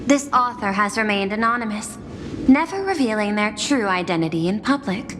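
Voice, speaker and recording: A woman playing a poised, persuasive executive in a video game, formal and measured, with grand confidence. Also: medium pitch, animated, background noise, natural voice. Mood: courteous, assured. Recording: long reverb, music behind.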